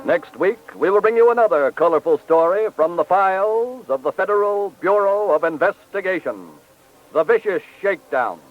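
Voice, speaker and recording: Formal high-voiced male radio narrator. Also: expressive, normal speed, soft background music. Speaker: narrator of a crime drama series. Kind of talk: scripted 1940s radio narration. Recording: tinny, band-limited old radio recording.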